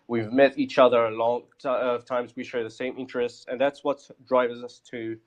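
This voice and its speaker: Male project founder, casual and halting, mid-range voice, dull lo-fi call sound. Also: mid-range voice, level tone. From Morocco. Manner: halting, many fillers and restarts. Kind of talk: casual live audio chat.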